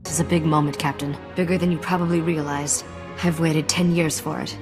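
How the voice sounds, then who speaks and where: A woman's voice: low-pitched, level tone, fairly quick, in a large hall, music in the background. A woman playing a guarded, confident young smuggler's associate in a video game, controlled and matter-of-fact. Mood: solemn.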